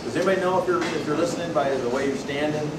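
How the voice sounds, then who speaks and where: A man with a Pacific Northwest accent giving a folksy, lively workshop talk to an audience, telling stories and asking the room questions. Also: a little high, very fast. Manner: loud folksy storyteller, asks the room questions. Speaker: presenter at a membership workshop. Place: meeting hall with a live audience. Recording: distant, echoey room recording with loud noise.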